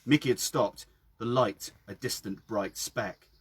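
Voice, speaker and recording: A man with a husky voice reading his own story aloud outdoors, in a dramatic storytelling style. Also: on the low side, a little slow, dry recording. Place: outdoors by a well.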